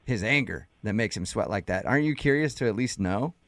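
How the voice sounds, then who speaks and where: A man's voice: fairly low pitch, lively intonation, fairly quick, fairly bright tone. A man hosting a radio show, interviewing in a casual, prodding, jokey way.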